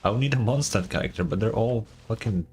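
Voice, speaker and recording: A man's voice: on the low side, lively intonation, fast, darkish tone. A man doing casual gaming livestream commentary in a sarcastic, mock-exasperated way, swearing freely. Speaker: streamer.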